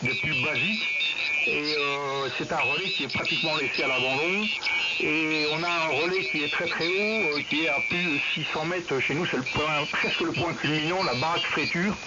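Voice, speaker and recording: A man's voice: a little high, normal speed. Man on noisy two-way radio. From Belgium. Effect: two-way radio transmission. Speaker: amateur radio operator. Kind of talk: casual radio contact, unscripted chat. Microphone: radio transceiver microphone, received over the air. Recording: dull lo-fi radio link, loud static noise.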